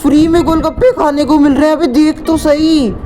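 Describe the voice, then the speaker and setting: A man's voice: very high-pitched, fairly even intonation, moderate pace, noisy background, voice pushed up. A narrator voicing a teasing, wary young man talking to his friend. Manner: mocking, then worried.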